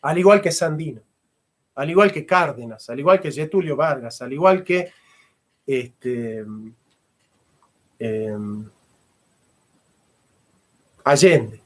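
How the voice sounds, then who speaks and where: A man's voice: a little high, animated, takes it slowly, long pauses. A middle-aged man, a philosopher and history teacher giving a fervent lecture and repeating phrases for emphasis. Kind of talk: impassioned lecture.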